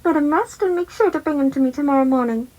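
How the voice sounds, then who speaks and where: A bright and friendly caller, voiced by a woman, brisk and upbeat in a story reading. The pitch sits high. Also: close to an everyday voice. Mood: pleasant, knowing. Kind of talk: podfic character reading.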